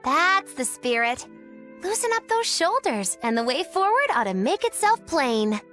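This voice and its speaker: A woman's voice: high voice, very animated, unhurried, fairly bright tone, faint music behind, full character voice. A woman playing a flippant, theatrical young witch and fortune teller in a video game, speaking in teasing, grandiose, old-fashioned phrases. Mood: teasing, breezy.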